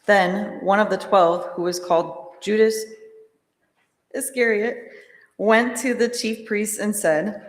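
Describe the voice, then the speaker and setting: A woman narrating a dramatic scripture reading in a measured, formal manner. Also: medium pitch, fairly even intonation. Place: large church during a service. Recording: echoey church, long reverb.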